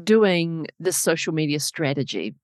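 A woman's voice: low-pitched, very animated, a little slow, dry recording, studio quality. A woman hosting a podcast, welcoming her guest and asking friendly, encouraging questions. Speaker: podcast host. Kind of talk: podcast interview.

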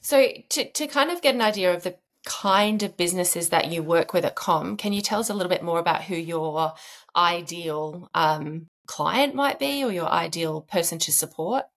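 A woman's voice: on the low side, animated, normal speed, fairly bright tone. A woman hosting a podcast, talking casually and earnestly and encouraging her listeners. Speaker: podcast host. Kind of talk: casual interview chat.

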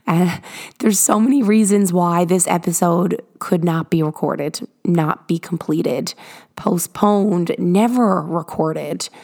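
Hoarse, raspy woman podcast host. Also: lowish voice, darkish tone. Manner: earnest, encouraging, drawn-out emphasis. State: hoarse from illness. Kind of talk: motivational podcast monologue. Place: garage.

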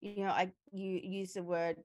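A woman's voice: on the low side, little pitch movement, normal speed, a little mellow. A woman hosting a podcast interview, reflecting back and affirming her guest's words. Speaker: podcast host, coach. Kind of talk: interview conversation.

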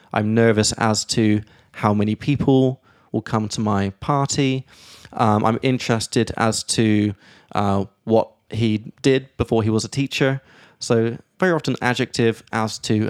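A man hosting a casual podcast, explaining English grammar in a lively way and reading out example sentences. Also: lowish voice, dry recording, clean studio sound. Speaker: podcast host and English teacher.

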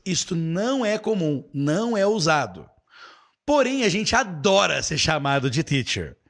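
A man with a Brazilian Portuguese accent teaching a live online English lesson, explaining playfully and reading viewer comments. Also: high voice. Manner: animated, playful, explaining with imitations.

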